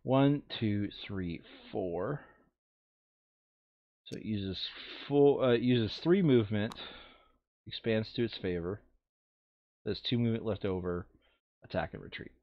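A man's voice: fairly low pitch, measured pace, long pauses, no room sound, muffled recording. A man playing a board game over voice chat, thinking aloud casually and muttering counts and rules to himself.

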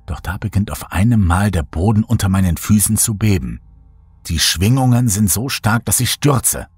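A man narrating a horror story as a scripted audiobook, in a measured, dramatic storytelling manner. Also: fairly low pitch, expressive, a little mellow, slightly rough, dry recording, soft background music.

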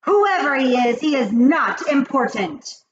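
A woman voicing the cruel, boastful leader of witches in a storybook, with a commanding, grandiose and drawn-out delivery. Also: high-pitched, sing-song, muffled recording, background noise, voice pushed up. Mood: imperious. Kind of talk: storybook character voice.

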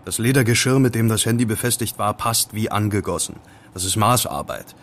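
Low-voiced, musing young student sleuth. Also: male voice, brisk, a bit bright, a little hiss or hum. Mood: curious, musing. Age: young adult. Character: male student amateur sleuth, curious, wary, brooding. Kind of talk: German audio drama acting.

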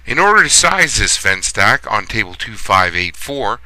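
A man giving a scripted plumbing lesson as a voice-over instructor, reading in a measured, steady way. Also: lowish voice, dry recording, rough recording.

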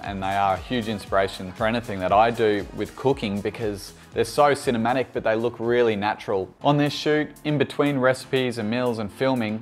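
A man in his thirties explaining things conversationally to camera, in a tutorial style. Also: fairly low pitch. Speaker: videographer and presenter. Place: bright furnished room indoors.

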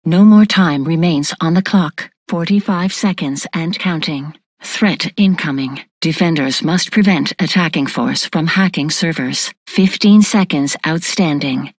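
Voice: medium pitch, no room sound, natural voice. An actor voicing an impersonal computer announcer for a futuristic military facility in a video game, clipped, formal and emotionless.

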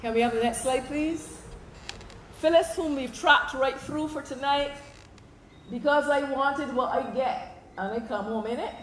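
A Barbadian woman giving a public lecture into a stand mic on a hall stage, animated, slipping into local dialect at times. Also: high voice, a little slow, slight background noise. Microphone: stand mic, filmed from the audience. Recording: echoey hall, faint ambience.

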